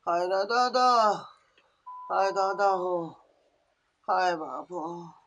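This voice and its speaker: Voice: high-pitched, slow, pushed and bright, muffled recording, slight background noise, heavily acted. A performer doing a wailing old mother-in-law. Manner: wailing, moaning, nagging. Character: groaning old mother-in-law, cranky and complaining.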